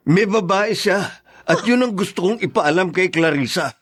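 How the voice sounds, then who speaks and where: Brief: heated older brother, raised pitch, indignant. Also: male voice, normal speed, breathy edge. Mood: angry. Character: angry protective older brother Henaro. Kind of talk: radio drama acting.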